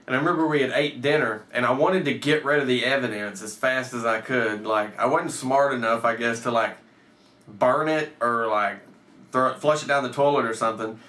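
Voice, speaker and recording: A man's voice: mid-range voice, brisk, a bit bright. A man in his thirties telling a personal story casually, with swearing and acted-out bits of dialogue. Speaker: storyteller, host. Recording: roomy echo, off the mic.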